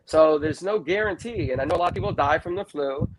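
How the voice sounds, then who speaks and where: A man's voice: a little high, quick pace. A man in his early fifties talking casually and informally as a candidate in an interview, with emphatic repetition. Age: early 50s. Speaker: school board candidate being interviewed. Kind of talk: casual, unscripted interview talk. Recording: clearly noisy recording with clicks.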